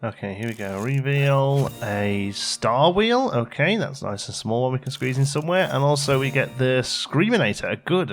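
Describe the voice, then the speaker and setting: A man giving casual, low-key gameplay commentary as a video host, thinking aloud and muttering to himself as he works. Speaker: let's play video host.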